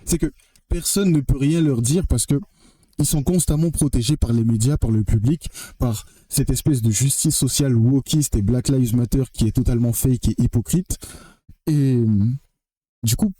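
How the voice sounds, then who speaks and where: A man's voice: mid-range voice, unhurried, mellow, dry recording. A young man from French Guiana giving a blunt, emphatic opinion monologue to the camera, swearing freely and often repeating or restarting his phrases. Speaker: vlogger.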